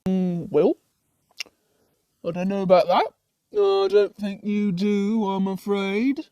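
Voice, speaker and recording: This is a woman's take on an ordinary demon who is thoroughly at ease in hell. The voice is dark and relaxed, recorded very dry. The talk wanders casually in colloquial turns, with a mild teasing edge, as in a comic puppet show. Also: mid-range voice, slow, no room sound, lightly acted. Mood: casually amused. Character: laid-back, chatty, ordinary demon lounging in hell.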